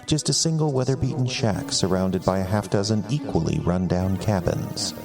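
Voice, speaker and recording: An American man playing a pompous, wry British gentleman host, narrating theatrically in a put-on British accent for a fantasy podcast. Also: low voice, normal speed. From United States. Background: music.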